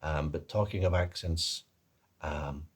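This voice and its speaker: Deep, husky American guy, put on by a man. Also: takes it slowly, heavily acted. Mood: self-mocking, chatty. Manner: rambling, self-deprecating, hesitant. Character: chatty male American video presenter, self-mocking and rambling. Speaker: video host and actor. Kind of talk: casual vlog commentary.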